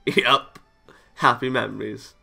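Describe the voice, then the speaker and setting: A male let's player narrates a visual novel in a bright, medium-pitched voice with a gravelly edge. He reads the text aloud and drops in casual comments. Faint music plays behind him. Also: fairly even intonation, slow pace. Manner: chuckles and comments between read lines. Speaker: video game let's player.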